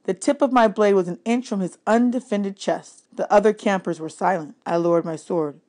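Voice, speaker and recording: A woman's voice: medium pitch, moderate pace, warm tone. A woman reading a children's fantasy novel aloud as scripted audiobook narration. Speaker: audiobook reader.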